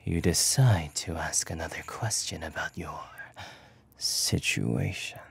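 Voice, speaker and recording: A man narrating a scripted ASMR story in a hushed, breathy whisper with long pauses. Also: a bass voice. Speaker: voice actor narrating an audio roleplay.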